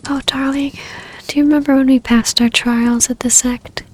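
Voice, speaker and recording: A woman playing a tender, yearning vampire baroness in an intimate ASMR roleplay, speaking softly and breathily with hesitant, formal, old-fashioned phrasing and often sinking toward a whisper. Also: high voice, little pitch movement, moderate pace.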